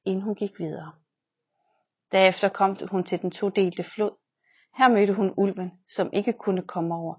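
Woman reading a storybook aloud, slightly muffled. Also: mid-range voice. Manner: lively voices for the wolf and girl. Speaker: children's physiotherapist. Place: large exercise room.

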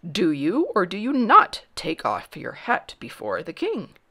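A woman voicing a pompous, angry king from a storybook, loud, commanding and indignant. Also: fairly low pitch, sing-song, moderate pace, exaggerated voice. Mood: imperious. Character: pompous, furious king demanding obedience. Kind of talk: dramatic storybook character voice.

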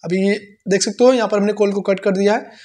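A man's voice: sits high, fairly even intonation, fairly quick, darkish tone. A man in his twenties with an Indian accent, hosting a casual how-to tutorial, recorded indoors on a lapel mic. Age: 20s. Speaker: tech tutorial host. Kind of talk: casual how-to explanation to camera. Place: small indoor room. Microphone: clip-on lapel mic.

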